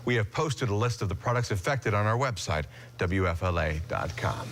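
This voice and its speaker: A man's voice: on the low side, lively intonation, normal speed, a bit bright. An American man working as a morning news anchor, moving between scripted news reading and casual banter.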